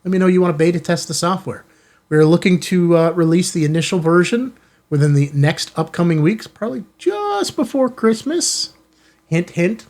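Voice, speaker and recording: A man's voice: high-pitched, expressive, moderate pace, a little mellow. An American man hosting a ham radio channel, chatting informally and casually to viewers as he shows off gear. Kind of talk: casual product unboxing chat to viewers. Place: indoor studio in an office building.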